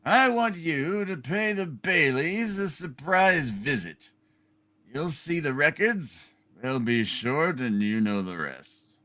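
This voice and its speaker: A man's voice: a little high, very animated, slow, pushed and bright, pitched above the performer's own voice, exaggerated voice. A man playing a scheming, imperious rich villain with a haughty, menacing delivery in a hammed-up radio play.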